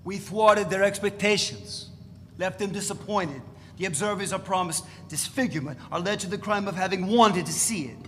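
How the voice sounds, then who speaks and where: A man's voice: high voice, moderate pace, a bit bright. A man playing a cool, defiant radical in a dramatic reading, blunt and emphatic in justifying himself. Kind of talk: dramatic literary reading before listeners. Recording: echoey hall, faint room noise.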